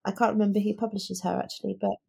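A woman with a British accent and a middle-pitched voice co-hosts a book discussion podcast. She speaks informally and chattily, softening her points with casual hedges as she goes. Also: flat delivery, quick pace, vocal fry, husky. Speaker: podcast co-host.

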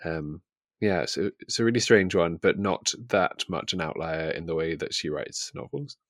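Low-voiced man, close dry sound. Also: normal speed, a bit bright, dry recording. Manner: hesitant, thinking aloud, often rephrasing. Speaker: book podcast host. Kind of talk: casual book discussion.

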